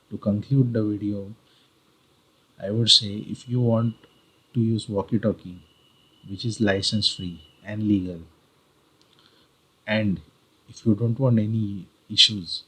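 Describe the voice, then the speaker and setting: A man with an Indian accent narrates a tutorial. His voice sits on the low side and has a husky grain. He speaks deliberately, restating key points like a careful instructor. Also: steady tone, a bit bright, poor audio. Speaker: video guide presenter.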